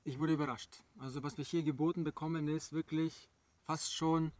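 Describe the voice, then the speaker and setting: A man's voice: a little high, little pitch movement, moderate pace, darkish tone. A man giving casual, enthusiastic travel vlog commentary in an informal way. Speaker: travel vlogger. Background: background music.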